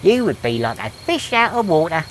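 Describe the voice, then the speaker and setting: An actress plays a mocking, bossy female companion in a comedy audio drama. Her pitch swings widely as she needles with dry sarcasm, over clear background noise. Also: very animated, moderate pace, low-quality recording. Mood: dry mockery.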